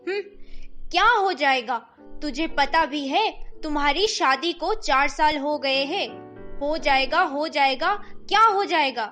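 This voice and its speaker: A woman playing a bossy, exasperated middle-aged Indian mother in an animated family melodrama, speaking emphatically. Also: high voice, measured pace, soft background music, exaggerated voice. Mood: nagging, exasperated.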